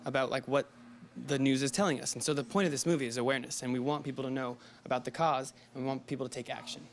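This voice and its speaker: A man, an actor being interviewed, speaking in an animated, indignant way about the film's cause. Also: mid-range voice, rapid-fire, slight background noise. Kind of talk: casual interview.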